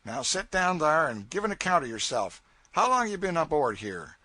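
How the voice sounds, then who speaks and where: A gruff raftsman boss questions a stowaway in a commanding, no-nonsense way, as a man reads him aloud. The voice is bright and slightly husky, with lively pitch, and the recording is close and dry. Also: moderate pace, no echo, full character voice. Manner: gruff, commanding, then lenient. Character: stern but fair older raftsman leader.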